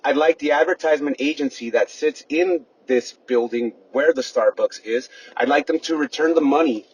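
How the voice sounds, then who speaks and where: A man speaking as an activist caller, earnest and persuasive, halting and often correcting himself on a recorded phone call. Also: a little high, husky.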